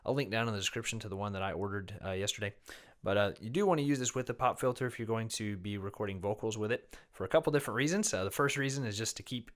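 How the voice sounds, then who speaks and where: Man, low-ish voice, relaxed rambling chat, slightly under the weather, small quiet room, condenser mic. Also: fast. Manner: relaxed, rambling with many fillers. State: slightly low voice, getting over an illness. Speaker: music teacher reviewing a microphone. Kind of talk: casual gear review and demo. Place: small, fairly dead room. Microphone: condenser microphone, no pop filter, varying distance.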